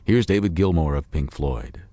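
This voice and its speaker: A man hosting a radio music special, reading scripted links with a lively, promotional delivery. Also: a bass voice, normal speed, no room sound. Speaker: radio host. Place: radio studio. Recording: dry studio sound.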